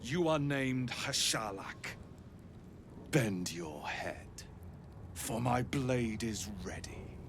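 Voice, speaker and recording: A man's voice: medium pitch, expressive, extremely slow. An actor playing a pitiless male alien warrior elder in a fantasy game, stern and contemptuous as he pronounces judgment. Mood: quiet menace. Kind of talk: cinematic fantasy game dialogue. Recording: clear background ambient noise.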